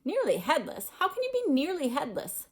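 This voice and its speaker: A woman's voice: sits high, wildly swooping pitch, normal speed, voice pushed up. A woman voicing a chatty, plain-spoken, eager young schoolboy wizard in a storybook. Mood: curious.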